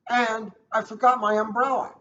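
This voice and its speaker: A man's voice: sits high, flat delivery, normal speed, sharp tone, voice pushed up. A man reading a storybook as a frightened, tearful little schoolgirl with a plaintive whine, on dull audio. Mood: anxious, crying. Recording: dull lo-fi audio, slight noise.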